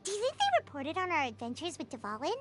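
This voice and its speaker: A woman voicing a small, childlike fairy companion in a video game, excitable and naive, with a squeaky voice. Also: fast talker, loud music behind the voice. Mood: curious, musing. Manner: excitable, exclamatory, refers to herself by name. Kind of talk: video game character dialogue.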